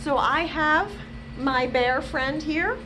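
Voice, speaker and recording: A middle-aged woman hosting a children's storytime in an animated, expressive way, talking to young children. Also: high-pitched, takes it slowly. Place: indoors, small library children's room. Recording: noticeable background room noise.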